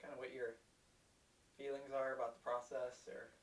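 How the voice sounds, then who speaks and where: A man's voice: medium pitch, flat delivery, moderate pace. A man hosting a livestream, chatting casually and hesitantly with plenty of ums. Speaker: livestream host. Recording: roomy, distant mic with hiss.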